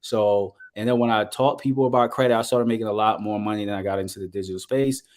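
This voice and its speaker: A man's voice: fairly low pitch, fairly even intonation, darkish tone. Earnest man from Liberia on a video call. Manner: earnest, measured, with frequent fillers. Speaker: guest, business coach. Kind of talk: casual interview talk.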